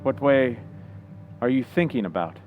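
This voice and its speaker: A man's voice: mid-range voice, expressive, moderate pace, lo-fi sound, faint music behind, natural voice. A man playing a moody, weak and violent kept husband of a movie star, terse and intense, in an old-time radio suspense play. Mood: low, yearning. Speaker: actor in a community playhouse radio play.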